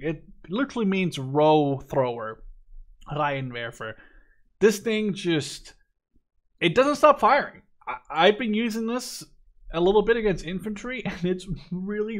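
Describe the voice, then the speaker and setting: A young man from the Netherlands with a voice a little higher than most men's. He talks through a game as a YouTuber would, casually and informally. His delivery is opinionated and blunt. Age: 20s. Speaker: gaming YouTuber reviewing a game. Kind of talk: casual gameplay commentary.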